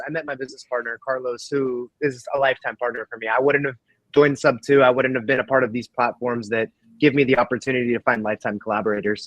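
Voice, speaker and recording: A man's voice: mid-range voice, dry recording, rough recording. A man in his twenties, a native Russian speaker, chatting casually and earnestly on a panel. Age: 20s. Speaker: real estate investor on a panel. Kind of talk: casual chat. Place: indoors in a rented apartment.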